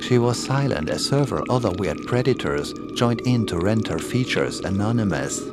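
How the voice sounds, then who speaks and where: Low-voiced man narrating horror over music. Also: expressive, moderate pace. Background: creepy music and sound effects.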